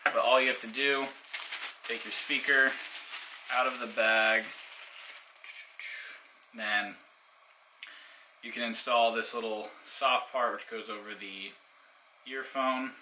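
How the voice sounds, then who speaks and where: A man's voice: mid-range voice, steady tone, slow pace, pauses often, pushed and bright, slight background noise, low-quality recording. A man giving a casual hands-on product demonstration in an informal, explanatory way. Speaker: product reviewer.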